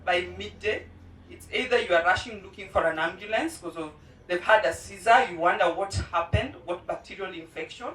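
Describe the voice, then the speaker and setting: A mother of two special-needs children giving a heartfelt personal testimony at a charity launch, earnest and pausing often. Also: mid-range voice, fairly bright tone, recorded from a distance. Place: indoor event venue. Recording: roomy hall, voice from off-mic.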